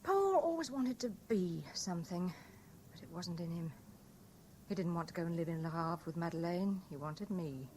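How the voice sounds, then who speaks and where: Actress as guilt-laden mistress, faintly noisy. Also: female voice, fairly low pitch, lively intonation, moderate pace, a little mellow, close to an everyday voice. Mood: subdued confession. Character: anguished mistress confessing a cold murder plot. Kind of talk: 1970s British radio drama acting. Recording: noisy old radio broadcast recording.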